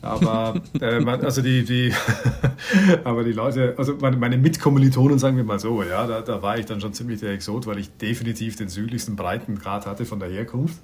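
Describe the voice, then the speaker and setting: A middle-aged man from Austria, a ship captain, chatting in an interview while searching for words with many pauses and restarts. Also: medium pitch, a little mellow. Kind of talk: casual interview answers.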